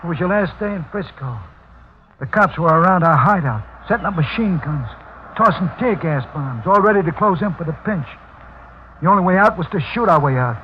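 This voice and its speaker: A man's voice: high-pitched, lively intonation, fairly quick. An actor playing a tough, loyal gangster henchman with slangy talk in a 1940s radio crime drama. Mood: confiding. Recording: thin, phone-like old radio recording, faint noise.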